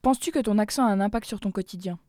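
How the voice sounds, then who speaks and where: A woman hosting and narrating a street-interview report, putting questions to passers-by in a brisk, lively manner. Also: medium pitch, no room sound, studio quality. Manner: brisk, lively presenter delivery. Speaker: host and interviewer.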